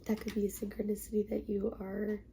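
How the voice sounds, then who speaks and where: A woman with a stuffy, sniffly cold speaks in a hushed, half-whispered voice as she opens a tarot reading. Her tone is deeply mellow and very flat in pitch, and she hesitates often. Faint noise hums underneath. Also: brisk, vocal fry. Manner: soft, half-whispered, hesitant with many ums; apologetic and self-conscious about her voice. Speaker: spiritual tarot reader. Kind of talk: casual spiritual talk to camera.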